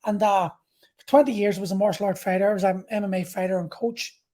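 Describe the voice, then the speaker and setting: Mellow-voiced man in his forties. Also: high voice, steady tone, fast. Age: 40s. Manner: candid, rambling, sweary. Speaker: podcast host and martial arts coach. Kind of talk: casual solo talk about personal experience.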